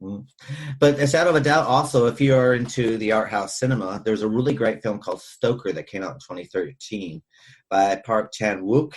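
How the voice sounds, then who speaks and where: Husky-voiced man hosting a film chat. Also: fairly low pitch, brisk. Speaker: podcast host. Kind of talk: casual film discussion.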